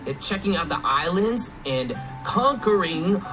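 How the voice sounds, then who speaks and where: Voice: mid-range voice, expressive, measured pace. A presenter giving upbeat, chummy scripted patter on a game livestream program. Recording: music playing behind the voice.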